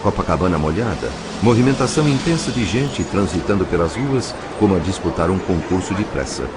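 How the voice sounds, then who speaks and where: A man with a Brazilian accent narrating a novel as an audio drama, in a measured, literary storytelling style. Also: low-pitched, expressive, heavy background noise. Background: music.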